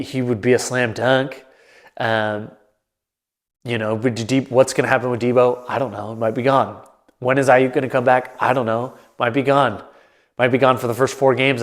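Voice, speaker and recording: Man, nasal and congested, casual solo sports commentary, rambling, mid-pitched voice. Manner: rambling, restarts and thinks aloud. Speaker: sports talk host. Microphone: camera mic, solo setup.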